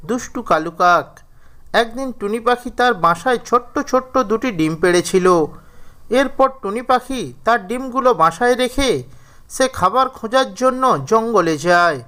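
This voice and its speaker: Guy narrating a kids story from a script, super high voice, clear and smooth. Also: a bit bright.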